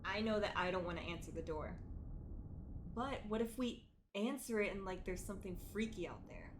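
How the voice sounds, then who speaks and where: A woman's voice: mid-range voice, normal speed, noisy background. A woman game streamer reading a horror game aloud and reacting expressively. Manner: expressive, exclaiming, swears when startled.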